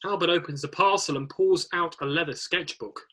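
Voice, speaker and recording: A man's voice: a little high, fairly quick, bright, forceful tone. A man narrating stage directions in a scripted screenplay reading.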